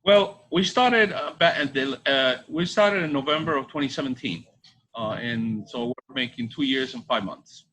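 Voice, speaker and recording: A middle-aged man from Bolivia answering interview questions conversationally, halting and repeating his phrases. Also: mid-range voice, fairly bright tone, low-quality recording. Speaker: restaurant co-owner. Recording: faint background ambience noise.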